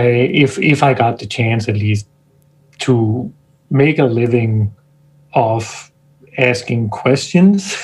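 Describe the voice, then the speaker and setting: A man's voice: medium pitch, creaky, darkish tone, slightly rough, poor audio. A man from Denmark, a scientist, talking thoughtfully as a guest on a video-call interview, often restarting his sentences. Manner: thoughtful, searching for words, frequent restarts. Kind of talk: casual interview talk.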